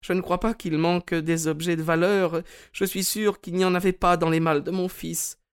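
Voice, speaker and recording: A man voicing a pale, frail older widow in an audiobook, speaking softly and plaintively in a raised voice. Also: high-pitched, flat delivery, normal speed, clear, resonant tone, pitched above the performer's own voice. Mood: weary plaintive. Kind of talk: audiobook character reading.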